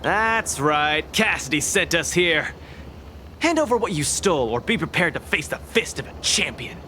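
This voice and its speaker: An actor playing a cocky, upbeat young champion fighter in a video game, confident and breezy, with music underneath. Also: male voice, on the high side, very animated, moderate pace, pushed and bright, a little hiss or hum. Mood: bold, challenging.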